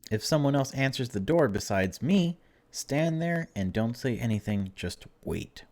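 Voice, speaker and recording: A man's voice: medium pitch, expressive, measured pace, darkish tone. A man giving a casual, chatty let's-play, reading game text aloud and adding wry asides. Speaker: video game streamer.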